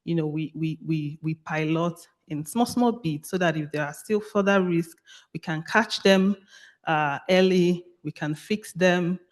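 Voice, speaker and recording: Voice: medium pitch, measured pace. A speaker with a Nigerian accent giving an explanatory answer in a panel discussion, stressing points by repeating phrases. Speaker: panelist on AI language models.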